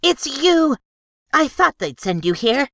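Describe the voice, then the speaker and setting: A man voicing a cheerful, troublemaking female gnome in a high, bubbly, boisterous way for an audiobook. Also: big pitch swings, slow pace, gravelly, bright, forceful tone, dry recording, clean studio sound, full character voice. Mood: delighted. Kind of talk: audiobook character voice.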